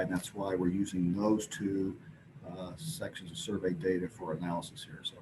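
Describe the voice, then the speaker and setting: A man presenting a technical scientific study at a conference in a loose, halting way with frequent restarts. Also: low-pitched, background noise.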